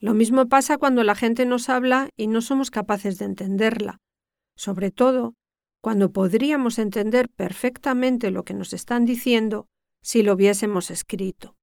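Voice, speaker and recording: An older woman from Spain with a Castilian accent delivers scripted educational narration in a measured, didactic way, articulating carefully. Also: on the high side, gravelly, a little mellow, no room sound, clean studio sound. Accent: Castilian Spanish. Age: 60s or older.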